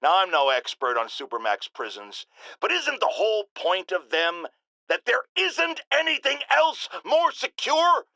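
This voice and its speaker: A middle-aged game radio shock jock, bombastic and paranoid. His pitch sits high and swings wildly as he delivers indignant, pompous talk-radio rants. The tone is bright and forceful, with every point hammered home. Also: very animated, unhurried, dry recording, exaggerated voice. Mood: incredulous. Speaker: radio talk show host. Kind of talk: theatrical talk-radio rant.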